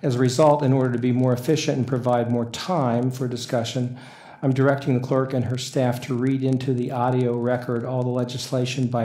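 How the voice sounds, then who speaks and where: An older man with an American accent, chairing a formal council meeting in a halting, procedural way. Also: mid-range voice, dark tone. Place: council meeting room, indoors. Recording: echoey council chamber.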